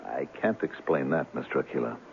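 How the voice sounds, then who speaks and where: An actor playing a concerned, patient friend, gentle and coaxing, in a 1970s radio drama. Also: male voice, very deep voice, expressive, fairly quick. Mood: grave, explaining. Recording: tinny, lightly noisy old radio recording.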